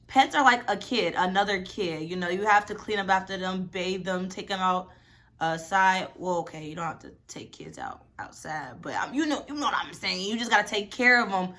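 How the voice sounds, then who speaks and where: A woman's voice: medium pitch, a little slow, pushed and bright. A woman of twenty-one, chatty and rambling, answering questions in a casual vlog. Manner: chatty, rambling, lots of "y'all" and "like". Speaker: vlogger.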